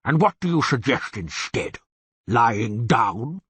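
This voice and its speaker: A man voicing a huge, blustering, irascible bear-headed monster in a gruff, raspy storybook reading. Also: medium pitch, sing-song, takes it slowly, fairly bright tone, no echo, full character voice. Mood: grumpy. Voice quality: raspy, roaring. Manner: blustering, indignant, theatrical.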